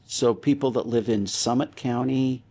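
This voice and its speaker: A man's voice: medium pitch, fairly even intonation, mellow. A man hosting a podcast in a casual interview style, often repeating words as he feels his way into a question. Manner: halting, repeats words while forming questions. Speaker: podcast host.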